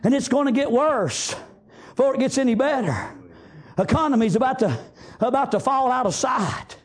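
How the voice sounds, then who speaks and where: High-pitched shouting Southern preacher man. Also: animated, moderate pace. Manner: exhortatory, shouting, peppered with praise interjections. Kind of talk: fervent revivalist sermon.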